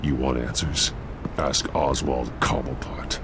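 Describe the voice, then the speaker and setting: Brief: stern masked vigilante for a game, lowest register, terse. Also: male voice, steady tone, slow. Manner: terse, clipped commands. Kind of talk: video game voice acting. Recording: game audio with loud city noise.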